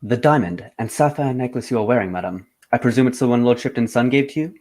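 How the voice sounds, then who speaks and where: A man's voice: mid-range voice, fast, a little mellow, natural voice. An actor playing a dry, insistent male English detective with formal, clipped courtesy in a radio drama.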